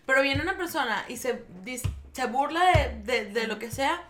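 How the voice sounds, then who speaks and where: A young woman with a Venezuelan Spanish accent talks in a loose, filler-heavy, laughing way. Her voice is bright and sits a little high. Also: animated, moderate pace, faint music behind. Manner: chatty, full of fillers, laughs often. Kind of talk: casual chat.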